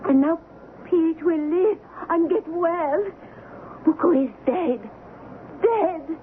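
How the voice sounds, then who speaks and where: High-voiced, cunning island woman. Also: slow pace. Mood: agitated. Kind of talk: 1970s radio drama acting. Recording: muffled, noisy 1970s AM radio broadcast.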